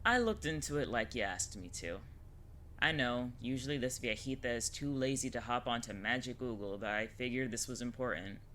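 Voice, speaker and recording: A woman's voice: extremely low pitch, expressive, moderate pace, a bit bright, a little hiss or hum. A woman playing a wry, teasing older witch mentor in an audio drama, talking in a casual, slangy way. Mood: serious, explaining. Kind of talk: scripted audio drama acting.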